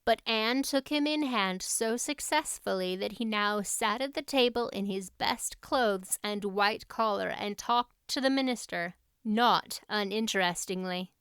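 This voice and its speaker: A woman's voice: medium pitch, unhurried, few pauses, a bit bright, smooth, no room sound, studio quality. A woman narrating a classic novel as a scripted audiobook reading.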